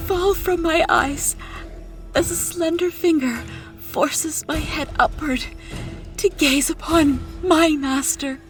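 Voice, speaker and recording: A woman playing a fanatical, devout servant of a psionic master, telling the tale with reverent, deliberate intensity in a dramatic first-person story. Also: on the high side. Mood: tearful rapture. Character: fanatical mind-controlled female psionic servant, devoutly worshipping her master.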